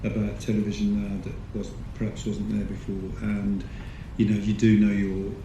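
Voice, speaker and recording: Measured low-voiced man on stage. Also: completely flat delivery, muffled recording. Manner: thoughtful, measured explanations. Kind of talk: panel Q&A answers. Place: large screening hall. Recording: echoey hall with audience noise.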